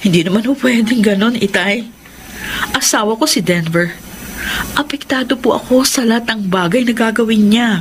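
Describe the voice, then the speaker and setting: An actress playing a worried, hurt young wife in a Filipino radio drama, earnest, persistent and pleading. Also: female voice, on the high side, moderate pace, a little hiss or hum, natural voice. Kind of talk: Filipino radio drama acting and confessional narration. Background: prominent music.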